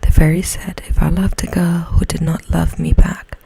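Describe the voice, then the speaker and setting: A woman voicing a gentle, earnest young fairy-tale prince in a slow, soft, breathy whisper. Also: lowish voice, little pitch movement, no echo, full character voice. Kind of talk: whispered bedtime storybook acting.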